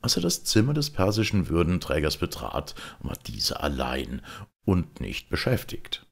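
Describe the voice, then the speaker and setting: A male audiobook narrator reads scripted literature expressively. His voice is low and creaky, with a husky edge and lively, wide-ranging intonation. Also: moderate pace. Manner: expressive storytelling.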